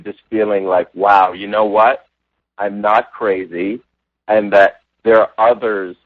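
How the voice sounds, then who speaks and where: A man's voice: fairly low pitch, dry recording. A man born in Jamaica, a religious science practitioner and interview guest, thoughtfully telling his spiritual journey with frequent restarts and "you know" fillers. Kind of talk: reflective personal storytelling. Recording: tinny phone-like line, dry.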